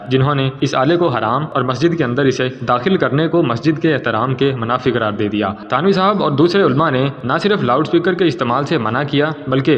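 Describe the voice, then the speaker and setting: Male narrator for formal documentary storytelling, dull sound drenched in long reverb. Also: mid-range voice.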